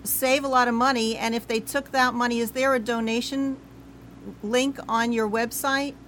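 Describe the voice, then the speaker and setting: A woman's voice: a little high, steady tone, normal speed, a bit bright, a little hiss or hum. A woman hosting a live interview, asking friendly, encouraging questions over a video call. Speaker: host.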